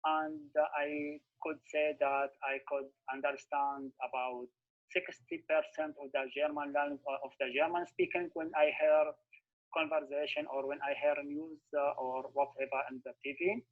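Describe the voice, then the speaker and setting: A man with a slightly high voice tells his story slowly and thoughtfully in careful English, often stopping to restart a phrase. The sound comes over a thin, tinny video call. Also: little pitch movement. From Syria. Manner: halting, thoughtful, non-native English with restarts. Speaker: refugee guest. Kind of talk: webinar interview answer.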